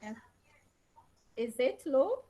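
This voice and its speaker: A woman's voice: high-pitched, very animated, slow, warm tone. A woman lecturer teaching an online class over a laptop, repeating key phrases and questions to her students. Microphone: laptop on a video call.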